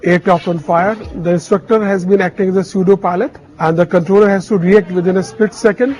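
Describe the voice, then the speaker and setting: A man's voice: sits high, fairly even intonation, fairly quick, darkish tone, soft background music, poor audio. A man explaining as an expert, in the manner of a training instructor. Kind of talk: explanation to camera.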